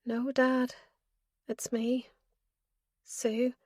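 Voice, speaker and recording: A woman's voice: fairly high pitch, level tone, very slow, darkish tone, dry recording, clean studio sound, pitched above the performer's own voice. A woman voicing a desperate, wounded adult daughter in an audiobook, pleading with emotion. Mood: urgent, hushed. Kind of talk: audiobook character dialogue.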